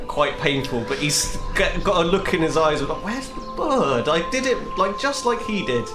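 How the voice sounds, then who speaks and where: Male role-play gamer, very expressive casual storyteller, roomy recording with clear music underneath. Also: a little high, normal speed. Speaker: player in a tabletop role-playing game. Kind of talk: animated casual in-game narration. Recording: roomy sound with music behind.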